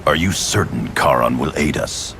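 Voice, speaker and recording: An actor playing a calculating otherworldly warrior ally in a video game, with a gravelly voice. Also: male voice, rumbling low, animated, moderate pace, a bit bright, a little hiss or hum, heavily acted. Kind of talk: dramatic video game acting.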